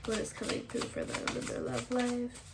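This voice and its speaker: A woman's voice: fairly high pitch, level tone, moderate pace, creaky, noisy background. A woman with a stuffy, congested voice, chatting casually as she gives a tarot reading to her channel's viewers and thinks aloud as she goes. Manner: chatty, thinks aloud, repeats "all right". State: congested, sniffling. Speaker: tarot reader, channel host.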